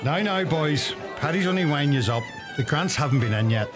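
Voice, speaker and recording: A man's voice: on the high side, expressive, brisk, music in the background, natural voice. Blustery Irish pub landlord, acted by a man. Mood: welcoming. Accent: Donegal Irish. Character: gossipy, self-important village pub landlord, a father. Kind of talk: radio play acting.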